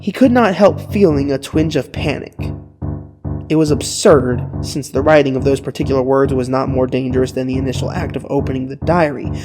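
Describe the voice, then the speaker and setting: A man narrating a dramatized literary audiobook with earnest, dramatic phrasing and deliberate pauses. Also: mid-range voice. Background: guitar music.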